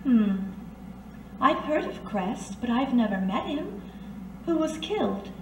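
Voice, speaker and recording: A woman's voice: sits high, very animated, takes it slowly, a little mellow, full character voice. A woman playing a worldly, soothing working woman in an old-time radio detective drama, coaxing and unflappable. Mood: knowing, calm. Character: blind, worldly, soothing 1930s working woman who knows underworld secrets. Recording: roomy, distant amateur recording, faint noise.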